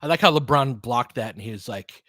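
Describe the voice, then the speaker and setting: A man's voice: mid-range voice, lively intonation, brisk, pushed and bright, dry recording, clean studio sound. A man calling a basketball game live in clipped phrases, with casual, fan-like asides between the plays. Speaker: basketball play-by-play commentator.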